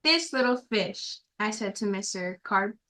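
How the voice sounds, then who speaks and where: A woman's voice: mid-range voice, animated, a little slow, sharp tone. A female guest reader reading a children's storybook aloud in a simple, careful storytelling way.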